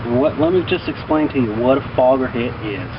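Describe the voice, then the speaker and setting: Man telling stories over loud background noise. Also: mid-range voice, expressive, brisk. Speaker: vlogger telling personal stories. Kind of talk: casual rambling storytelling. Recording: distant mic, muffled, loud outdoor traffic-like noise.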